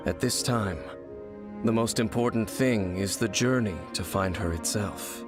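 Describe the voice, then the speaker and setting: An actor playing a calm, wise man who gives slow, formal advice in a video game. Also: fairly low pitch, lightly acted. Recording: game audio with music.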